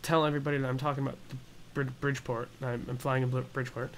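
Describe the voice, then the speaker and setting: A man's voice: mid-range voice, flat delivery, racing. A man hosts a flight simulator tutorial in a mid-range voice. He talks casually and a little hesitantly as he explains each step. There is noticeable noise and ambience behind him. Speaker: gaming tutorial host.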